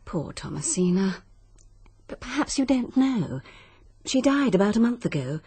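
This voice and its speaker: A woman's voice: medium pitch, very animated, measured pace, dark tone, husky, exaggerated voice. An actress playing a genteel, guarded stepmother in a radio drama, clipped and coolly disapproving. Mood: coolly regretful. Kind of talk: 1940s-style British radio drama acting.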